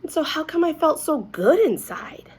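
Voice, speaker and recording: Storybook lead: adult woman as an earnest, excitable small girl, high-voiced, emphatic and childlike, big swoops on key words. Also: moderate pace. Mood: hopeful. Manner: childlike, emphatic, dramatic phrasing. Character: excitable, earnest little girl telling her own story. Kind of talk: animated children's storybook narration.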